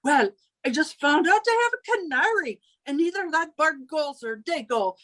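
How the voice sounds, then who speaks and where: A woman's voice: sits high, animated, normal speed, a bit bright. A woman acting in an old-time radio comedy as a strict, indignant landlady with an emphatic manner. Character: strict, indignant landlady who forbids pets.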